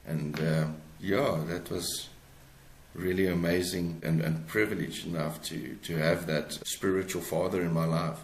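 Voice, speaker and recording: A man in his forties with a South African accent, talking reflectively as an interview guest in a hesitant, halting way. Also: low voice, fairly even intonation, roomy sound, slight background noise. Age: 40s. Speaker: guest author.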